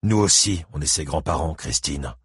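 A man voicing a battered, lonely ex-convict father, gruff, curt and wry, in an audiobook novel. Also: deep voice, normal speed, fairly bright tone, no room sound, clean studio sound, heavily acted.

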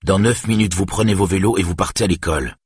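A man's voice: deep voice, moderate pace, husky, no echo, clean studio sound, full character voice. A man voicing a harsh, exasperated father who scolds his young daughter in a stern, angry voice for an audiobook. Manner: stern, shouting when angered.

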